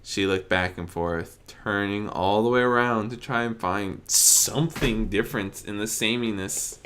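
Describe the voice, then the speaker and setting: A narrator reading a fan fiction story aloud in an expressive storytelling style. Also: sits low, a little slow, slight background noise. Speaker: audiobook narrator.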